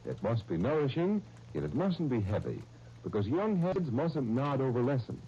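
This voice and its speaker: A man's voice: mid-range voice, big pitch swings, normal speed, warm tone, slight background noise. A man announcing and narrating in a polished, emphatic 1930s radio style. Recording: dull 1930s radio broadcast.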